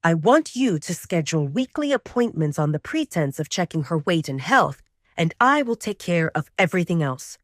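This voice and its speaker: A woman's voice: on the low side, big pitch swings, moderate pace, dry recording, studio quality. A woman voicing a protective male werewolf pack leader in an audio drama, with terse, decisive delivery. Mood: firm, protective.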